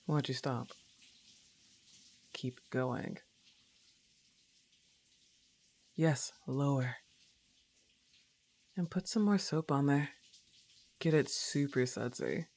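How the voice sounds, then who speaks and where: Woman playing goth roommate, young, low-pitched, unhurried delivery, laid-back, teasing, mildly annoyed, casual ASMR roleplay. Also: darkish tone, husky, heavily acted. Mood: relaxed. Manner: teasing, coaxing, casual, with sighs.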